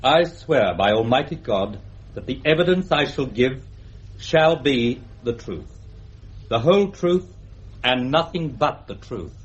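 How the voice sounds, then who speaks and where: A man's voice: mid-range voice, animated, measured pace, natural voice. An actor playing a salesman on trial in a radio drama, guarded and brief in his answers. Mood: solemn. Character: guarded male insurance salesman accused of robbery. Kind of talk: radio courtroom drama acting. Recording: dull archive radio recording.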